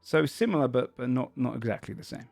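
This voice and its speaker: A man's voice: medium pitch, quick pace, vocal fry, darkish tone, dry recording, soft background music. A man narrating a tabletop game as game master, describing the scene in a searching, descriptive way.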